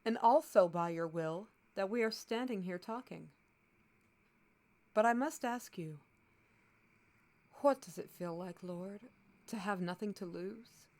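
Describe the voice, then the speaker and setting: A woman's voice: mid-range voice, unhurried, long pauses, darkish tone, natural voice. A woman playing a stern, commanding captain of the royal guard in a fantasy audio drama, speaking bluntly and with measure. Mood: dry, sceptical. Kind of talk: scripted fantasy audio roleplay.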